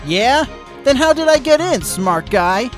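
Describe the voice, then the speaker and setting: Guy putting on a hyped male sloth witness, yelling like a gym coach, pitch bouncing all over, music humming behind. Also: sing-song, unhurried, heavily acted. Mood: pumped. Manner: loud, peppy coach-like shouting.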